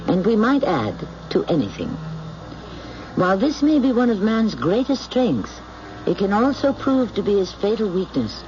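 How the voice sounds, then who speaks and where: A man's voice: high voice, huge pitch swings, moderate pace, darkish tone. A man hosting and narrating an old-time radio drama in a theatrical, literary manner. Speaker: host and narrator. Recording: muffled, noisy old radio recording.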